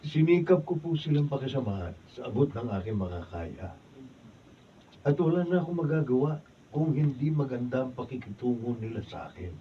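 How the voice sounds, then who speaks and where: An actor playing a polite, respectful young nephew to an aging company owner in a radio drama. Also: male voice, medium pitch, expressive, moderate pace, recorded from a distance, highs cut off, background noise, close to an everyday voice. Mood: dutiful. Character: young male nephew, humble and dutiful.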